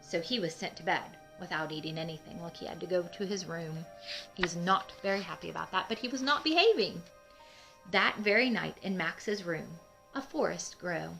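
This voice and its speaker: A woman's voice: lowish voice, normal speed, vocal fry, soft background music, poor audio. A woman hosting a children's read-aloud, telling a picture book story expressively and addressing young listeners in simple terms. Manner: asks young listeners questions, explains simply; emphatic, expressive reading.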